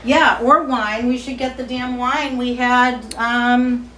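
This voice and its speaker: A woman with a light Boston accent hosting a live cooking demo from her kitchen, chatty and excitable as she talks to viewers. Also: on the high side, takes it slowly, slight background noise. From Boston area. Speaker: cooking show host. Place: home kitchen, indoors.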